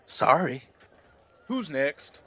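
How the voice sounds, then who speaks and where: A man playing a gruff, impatient frontier trader in an audio drama, curt and unimpressed. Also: fairly high pitch, sing-song, drawn-out words, a bit bright, slight background noise, full character voice. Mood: dismissive.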